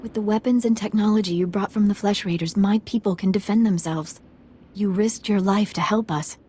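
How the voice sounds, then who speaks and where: An actress playing a sympathetic, dignified village leader in a video game, formal and gentle. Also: female voice, medium pitch, a little fast, slightly rough, lightly acted. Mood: solemn gratitude.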